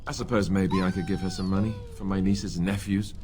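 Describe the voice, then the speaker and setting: Man playing an earnest, low-voiced young warrior prince. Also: steady tone, a little fast, darkish tone, a little hiss or hum, lightly acted. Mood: flustered, apologetic. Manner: hesitant, sincere. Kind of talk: video game voice acting.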